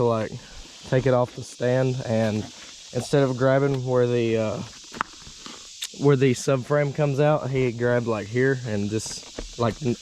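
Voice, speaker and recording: A man with a slight cold and a hoarse voice, casually rambling through a vlog walkthrough with plenty of uh and um. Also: medium pitch, a little mellow, a little hiss or hum, poor audio. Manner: rambling, lots of uh and um. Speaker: dirt bike vlogger.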